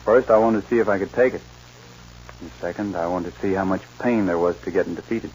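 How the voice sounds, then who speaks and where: An actor playing an easygoing but stubborn cowboy loner in a 1940s western radio drama, with a folksy, plainspoken way of talking. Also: male voice, low-pitched, fast, a little mellow, a little hiss or hum, close to an everyday voice. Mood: mild, reflective. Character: easygoing, stubborn young-hearted cowboy loner called Wyoming. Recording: dull 1940s radio recording, music.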